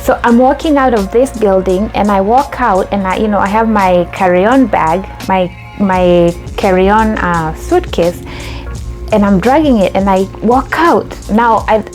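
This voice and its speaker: A woman's voice: mid-range voice, unhurried, a little mellow, soft background music. A young adult woman from Kenya hosting her own vlog, telling a story in a chatty way with lots of fillers and restarts. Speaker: YouTube host.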